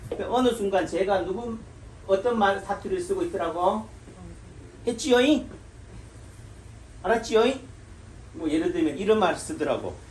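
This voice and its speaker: A man's voice: sits high, animated, moderate pace, long pauses, darkish tone, slight background noise. A middle-aged man from the Gyeongsang region giving an informal health lecture as a Korean medicine doctor, asking rhetorical questions and answering them himself. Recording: echoey room.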